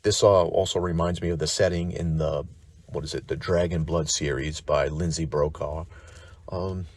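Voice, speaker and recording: A man's voice: very deep voice, steady tone, moderate pace. A man hosting a tabletop gaming lore show, reading scripted narration like a dramatic storyteller and slipping into wry, informal asides.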